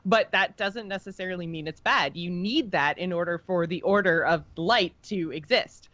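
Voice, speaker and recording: Chatty woman podcaster, lowish voice. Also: normal speed, fairly bright tone, no room sound, lo-fi sound. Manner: chatty, informal, teasing. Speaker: podcast co-host. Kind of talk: casual, unscripted fan discussion.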